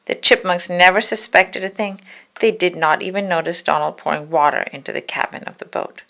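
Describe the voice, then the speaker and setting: A woman's voice: fairly low pitch, a little fast, creaky, bright, forceful tone. A woman narrating a children's story as a read-aloud. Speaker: storybook reader.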